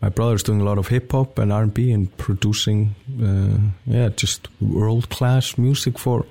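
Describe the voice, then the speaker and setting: A man's voice: on the low side, steady tone, normal speed, vocal fry, dark tone. A young man, a band member guesting on a radio interview, talking casually with lots of fillers and restarts. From Portugal. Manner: hesitant, many fillers and restarts.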